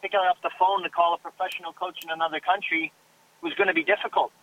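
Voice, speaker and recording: A high-voiced Canadian man talks about his soccer agent work, earnest and stumbling over the first words of his sentences. He comes through a thin, tinny phone line. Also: fairly even intonation, fast, slight background noise. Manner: earnest, repeats words when starting sentences. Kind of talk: casual interview talk.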